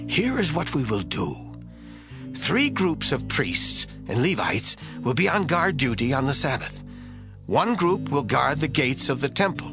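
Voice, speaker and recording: An actor playing a stern, resolute priest giving orders in a declamatory audio Bible drama style. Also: male voice, medium pitch. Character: commanding priest rallying his men, stern and resolute. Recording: music bed behind voice.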